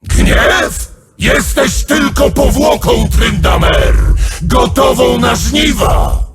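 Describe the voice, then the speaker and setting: An actor voicing a bloodthirsty ancient male demon warrior, shouting taunts at his foes in a video game. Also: extremely high pitch, animated, drawn-out words, loud music behind the voice, full character voice. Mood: menacing. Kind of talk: video game character voice lines.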